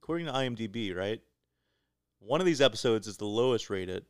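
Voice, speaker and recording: A man's voice: fairly low pitch, animated, fairly quick, clear, resonant tone, dry recording, studio quality. A man co-hosting a podcast with casual, joking banter and an irreverent, profane way of talking. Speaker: podcast co-host.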